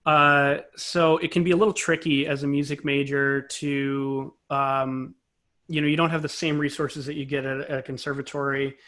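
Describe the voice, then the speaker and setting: A man's voice: medium pitch, flat delivery, normal speed, bright, forceful tone. A man speaking as an interview guest, a composer and conductor explaining things conversationally in a thoughtful, self-correcting way.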